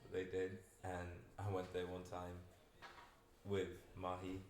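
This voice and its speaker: A young male podcast host with a low, deep voice talks in a laid-back, rambling way. His delivery stays flat, with little rise and fall. The room sounds echoey, with faint noise behind him. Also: takes it slowly. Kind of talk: casual podcast chat.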